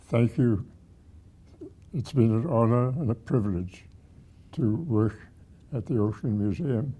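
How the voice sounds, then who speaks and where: A man of about a hundred with a husky, aged voice, reminiscing haltingly as a retired museum director. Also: mid-range voice, dark tone. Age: 100. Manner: halting, with repeated words and pauses.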